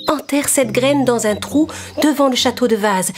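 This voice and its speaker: A woman's voice: a little high, normal speed, faint music behind, pitched above the performer's own voice, natural voice. A woman voicing a good fairy, solemn and instructive, in a children's cartoon tale. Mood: grave.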